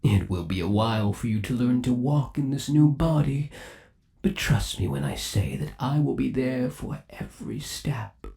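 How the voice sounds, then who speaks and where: A man's voice: mid-range voice, very animated, normal speed, creaky, warm tone. A man playing an undead animatronic boyfriend in an ASMR roleplay, coaxing and theatrical, lingering on endearments with eerie, possessive devotion. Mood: tender, reassuring. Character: undead animatronic boyfriend, obsessively devoted, eerily tender yandere.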